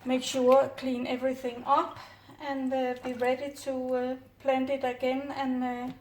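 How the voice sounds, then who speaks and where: A middle-aged Danish woman speaking English with a Danish accent, calmly narrating her work at home with many hesitant "uh" fillers. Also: high-pitched, a little slow. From Denmark. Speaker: orchid grower. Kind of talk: casual hands-on narration. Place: home kitchen counter, indoors. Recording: roomy distant camera audio, faint noise.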